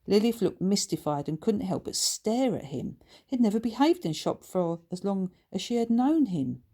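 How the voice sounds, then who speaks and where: A woman with a medium-pitched voice narrates a children's story. She is the author, reading scripted prose from her own book. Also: very animated, moderate pace, mellow.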